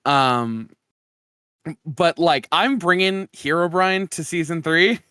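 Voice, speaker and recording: A man's voice: fairly high pitch, slow, pushed and bright, smooth, no echo, studio quality. A man co-hosting a podcast in casual chat, with blunt, slangy banter and plenty of swearing. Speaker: podcast co-host.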